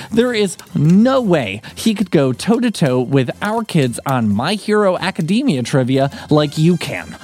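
A man's voice: fairly high pitch, darkish tone. A man announcer reading scripted thank-you messages to supporters of an audio drama. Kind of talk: scripted sponsor acknowledgements.

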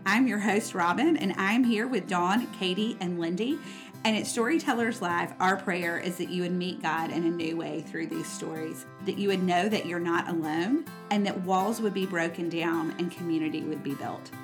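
A woman hosting a podcast, reading a measured, scripted introduction. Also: on the low side, over music. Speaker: podcast host.

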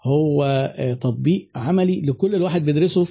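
A man with an Egyptian Arabic accent hosting a casual live interview, quick and joking, often talking over his guest. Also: a little high, vocal fry, dark tone, breathy edge, dull audio. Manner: rapid, jokey, talks over the guest. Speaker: host.

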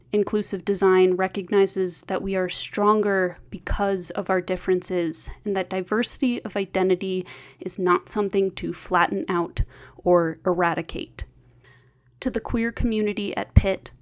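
A woman hosts a podcast, reading from a prepared script. Her pitch is average for a woman, and she delivers it as a formal introduction. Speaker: podcast host.

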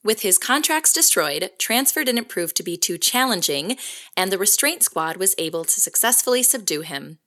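A woman's voice: medium pitch, sharp tone. A woman playing a composed case narrator, reading scripted storytelling narration for an audio drama.

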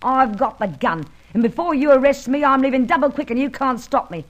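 Brazen high-voiced London lady crook. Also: fairly quick, muffled recording. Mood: defiant. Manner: bossy, slangy, taunting. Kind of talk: radio crime serial acting.

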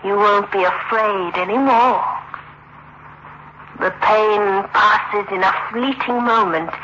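An actress playing a cold, gloating murderess in a 1960s radio suspense drama, slow, deliberate and taunting. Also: female voice, medium pitch, close to an everyday voice. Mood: cold menace. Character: cold, gloating murderous woman, calmly menacing. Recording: dull, very noisy old radio recording.

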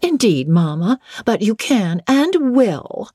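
A spoiled, imperious young lady of high birth in a classic novel, acted by a female narrator. Her voice is lifted high and swoops with haughty command. She sounds used to getting her own way. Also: big pitch swings, unhurried, mellow, no echo, clean studio sound. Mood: haughty, wilful.

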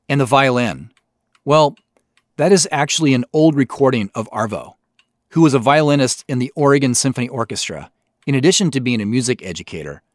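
A middle-aged man with an American accent, giving measured, explanatory scripted commentary as the creator of a show. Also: mid-range voice, dry recording, clean studio sound. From US Pacific Northwest. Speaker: audio drama creator and host. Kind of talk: scripted behind-the-scenes commentary.

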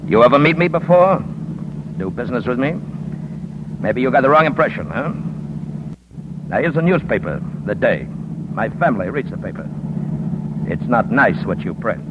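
An actor playing a menacing gangster boss who feigns friendliness, in the style of 1950s radio drama. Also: male voice, big pitch swings, normal speed, pauses often, lightly acted. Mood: smoothly menacing. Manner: falsely friendly, veiled threats. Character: menacing, smooth-talking male gangster boss posing as respectable businessman. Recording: noisy, muffled 1950s radio recording with car rumble.

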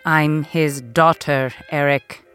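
A woman's voice: sits low, steady tone, takes it slowly, fairly bright tone, clean tone, soft background music. A woman playing a haughty, scheming rich daughter in an audio soap parody, with an affected, imperious, melodramatic delivery. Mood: impatient scheming. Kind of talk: comic audio soap opera acting.

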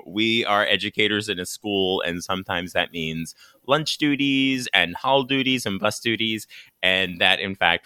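Hoarse-voiced enthusiastic man hosting a podcast. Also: fairly low pitch, pushed and bright, dry recording, studio quality. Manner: upbeat, chatty, enthusiastic. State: raspy voice from shouting at a school dance. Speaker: podcast host. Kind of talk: podcast interview.

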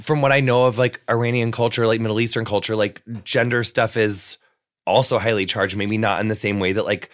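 A man interviewing a guest in a casual chat, gushing and excitable, often jumping in with his own stories. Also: on the low side, fairly quick.

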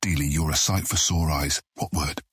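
A man playing a grave, authoritative guild deputy in an audiobook drama, with a deep, husky voice and measured, formal speech. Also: fairly even intonation, fairly bright tone, no echo, clean studio sound, exaggerated voice. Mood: hushed, warm.

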